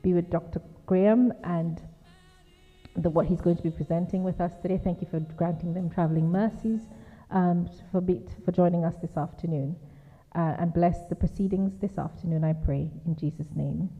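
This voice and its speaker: A woman's voice: fairly low pitch, fairly even intonation, normal speed. A woman at a church giving an informal, hesitant welcome to a guest speaker, with many fillers. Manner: informal, hesitant with fillers, then a soft prayer. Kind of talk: welcome speech and prayer. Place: church hall. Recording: lo-fi phone-like sound in a reverberant church hall.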